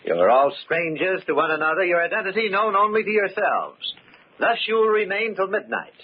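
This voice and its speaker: An actor playing a grave, formal masquerade host in a 1940s radio mystery, speaking deliberately and dramatically. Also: male voice, high voice, lively intonation. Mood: grand, ceremonious. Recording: muffled, faintly noisy old radio recording.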